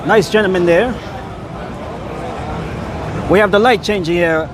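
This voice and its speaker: A man's voice: sits high, a little slow. A man hosting a casual walking livestream outdoors on city streets at night, easygoing and chatty. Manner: easygoing, chatty, repeats phrases. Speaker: livestreaming vlogger host. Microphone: handheld phone. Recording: phone mic with loud street noise. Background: street and traffic noise.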